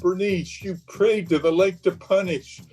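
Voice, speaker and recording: A man's voice: sits high, fairly even intonation, a little slow, over loud music. A man playing a guilt-ridden killer raving with dread in a melodramatic old-time radio horror play. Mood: fatalistic raving. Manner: melodramatic, feverish, repeating words.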